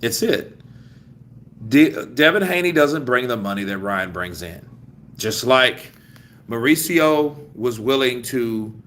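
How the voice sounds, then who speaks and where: A man from the United States runs a relaxed live boxing chat, circling back to the same phrases when he wants to hammer a point. His voice sits at an ordinary middle pitch. A faint hum runs under it. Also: slow pace. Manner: loose, rambling, repeats himself for emphasis. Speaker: boxing commentary host reading live chat. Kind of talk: casual live-stream chat. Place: after leaving the gym.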